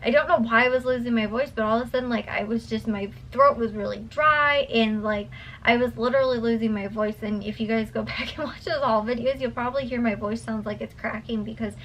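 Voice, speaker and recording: A woman's voice: a little high, steady tone, fairly quick, fairly bright tone, a little hiss or hum, low-quality recording. Tired young woman rambling to her vlog. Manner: rambling, many fillers and restarts. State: tired, voice a bit worn. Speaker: vlogger. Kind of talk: casual chat to camera. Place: home at night.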